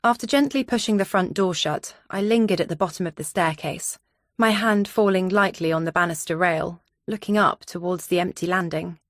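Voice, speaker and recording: A woman's voice: on the low side, normal speed, no echo, clean studio sound. A woman reading scripted first-person thriller narration as an audiobook narrator.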